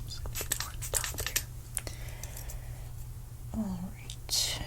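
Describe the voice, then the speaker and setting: A young woman with a stuffy nose giving an ASMR haircut role play as a hairstylist. She speaks softly, often slips into whispers, and makes gentle salon small talk. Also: slow pace. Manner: soft-spoken, drifting into whispers.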